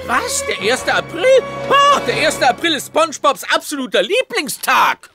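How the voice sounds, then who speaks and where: A man's voice: extremely high pitch, huge pitch swings, moderate pace, loud music behind the voice, full character voice. A man voicing a grumpy, vain male squid in a German cartoon audio drama, nasal, whiny and theatrical. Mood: dismayed, sour. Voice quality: nasal, creaky.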